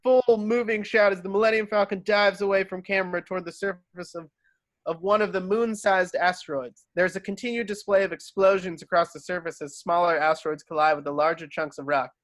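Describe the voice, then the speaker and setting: A man's voice: sits high, fairly even intonation, a little fast. A man reading screenplay stage directions aloud as scripted narration.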